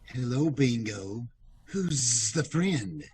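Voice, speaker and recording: An actor playing a surly, shady racketeer in an old-time radio mystery, curt and slangy with a husky voice. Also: male voice, mid-range voice, animated, extremely slow, darkish tone, slight background noise, low-quality recording.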